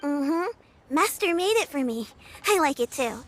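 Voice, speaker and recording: A woman's voice: sits high, lively intonation, unhurried, background noise. A woman playing a shy, earnest and polite young girl apprentice in an anime game, with hesitant delivery. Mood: cheerful, polite.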